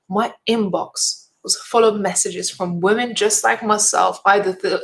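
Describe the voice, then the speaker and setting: A woman's voice: medium pitch, fairly bright tone, poor audio. A woman giving an earnest, inspirational talk straight to her viewers, a speaker and event host who leans on emphatic repetition. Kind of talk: motivational direct-to-camera talk.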